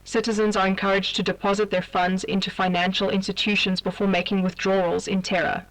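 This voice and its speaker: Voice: medium pitch, fairly bright tone. Distant, formal advisory announcer on radio. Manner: official, impersonal, measured delivery. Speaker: emergency broadcast announcer.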